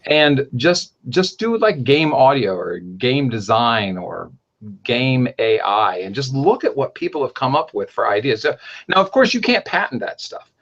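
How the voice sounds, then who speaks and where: A man hosting a podcast, talking in a rambling, animated way and often correcting himself mid-sentence. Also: mid-range voice, a little slow, highs cut off. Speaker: podcast host. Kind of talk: casual podcast chat.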